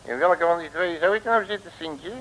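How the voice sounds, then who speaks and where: An actor playing a bearded, boastful, grumbling detective in a comic Dutch radio drama from around 1960, blustering in colloquial hesitant speech. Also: male voice, fairly high pitch, normal speed, a bit bright, natural voice. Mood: puzzled. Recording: noisy old radio recording.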